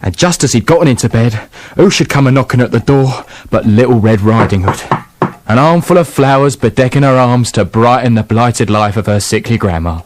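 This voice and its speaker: A man playing a wry storyteller, narrating a dark fairy tale in a florid, theatrical way. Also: mid-range voice, a little fast, background noise, close to an everyday voice.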